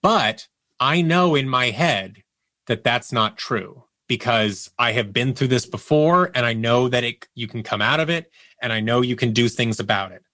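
A man's voice: mid-range voice, lively intonation, normal speed, sharp tone, dry recording. A man speaking as an interview guest, telling personal stories candidly and reflectively, often voicing his inner thoughts as quotes. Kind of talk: candid personal storytelling in conversation.

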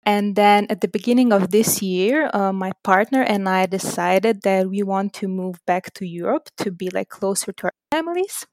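A woman's voice: medium pitch, normal speed, hardly any pauses, a little mellow, no room sound. A young woman from Slovenia, a native Slovenian speaker, chatting casually as a podcast guest. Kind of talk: casual chat.

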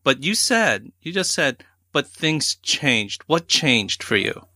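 A man's voice: on the high side, big pitch swings, slow pace, pushed and bright, no room sound, clean studio sound. A man hosting a podcast, chatting enthusiastically with his guest. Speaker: podcast host. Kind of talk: podcast interview.

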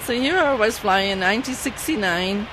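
A woman's voice: medium pitch, expressive, moderate pace, background noise. A woman from the Netherlands with a Dutch accent, a native Dutch speaker, telling stories from her flight attendant days and laughing often. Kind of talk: casual anecdote telling.

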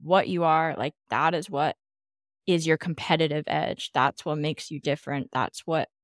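A woman's voice: low-pitched, moderate pace, no echo, clean studio sound. A woman hosting a podcast, asking questions and responding with enthusiastic affirmations. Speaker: podcast host. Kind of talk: casual interview chat.

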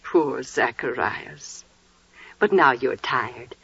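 An actress playing a caring, gently bossy biblical kinswoman, soothing yet firm, in a 1940s radio drama. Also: rumbling low, expressive, slow pace, husky, dry recording, full character voice. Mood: tender concern. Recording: muffled, dry vintage 1940s radio recording.

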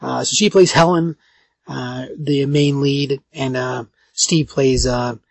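A man with a raspy voice hosting a podcast in casual chat, halting and often correcting himself. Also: medium pitch, fairly even intonation, no room sound. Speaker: podcast host.

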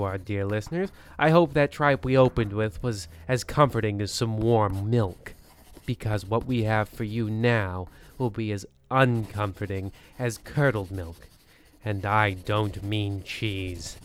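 A man's voice: medium pitch, natural voice. A man playing a pompous, disdainful veteran host of a radio horror show, speaking in a theatrical monologue.

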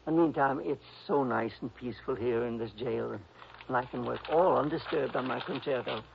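An actor playing a sweet, dreamy, eccentric older composer, rambling and hesitant in a radio drama. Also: male voice, mid-range voice, brisk, gravelly, noisy background. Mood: innocent, serene. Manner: rambling, fluttery, hesitant, chuckling. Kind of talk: 1960s radio drama acting. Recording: dull, lo-fi old radio recording.

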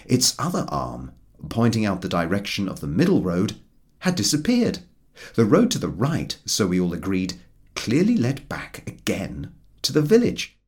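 A man narrates a humorous travel story as an audiobook reader. His voice sits fairly low and swoops widely in pitch. The delivery is dry and droll, with expressive storytelling. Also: normal speed.

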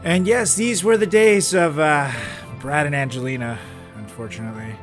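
A man's voice: a little high, normal speed, faint music behind. A man co-hosting a podcast, chatting casually and jokingly with friends and prone to giggling. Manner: giggly, breaking into laughter. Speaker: podcast co-host.

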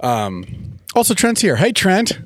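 A man's voice: sits high, wildly swooping pitch, takes it slowly, a bit bright. Joking man hosting a cabin podcast. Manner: joking, laughing often. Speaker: podcast co-host. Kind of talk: casual chat. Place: cabin, long table with family.

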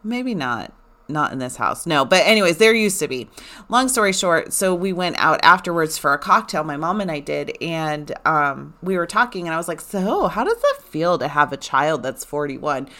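A woman in her forties chatting casually to her listeners, animated and rambling with lots of fillers. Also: low-pitched, normal speed. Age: 40s. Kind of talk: casual personal chat.

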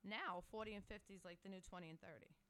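A woman's voice: mid-range voice, fast, fairly bright tone. A woman in her fifties from New York, a guest on a casual podcast chat, easygoing and agreeable. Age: 50s. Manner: easygoing, agreeable, laughs readily.

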